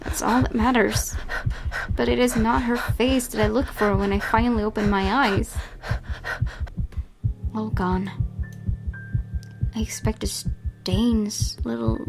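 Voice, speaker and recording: A woman reading a visual novel aloud as dramatic first-person narration, often hushed at phrase ends and halting over words. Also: a little high, fairly even intonation, vocal fry, music in the background. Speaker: let's player reading a game aloud. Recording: noisy home recording with clicks.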